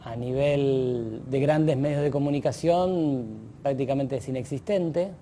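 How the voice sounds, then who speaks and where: A man with an Argentine Spanish accent talking analytically and informally in an interview, with hesitant pauses and quieter asides. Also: mid-range voice, a little hiss or hum. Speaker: radio drama commentator.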